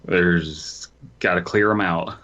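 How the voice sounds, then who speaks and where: A man with a very low voice talks in a goofy, casual way as a podcast co-host, moving between banter and reading a story aloud. The audio is a little dull and lo-fi. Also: fairly even intonation, slow pace, pushed and bright.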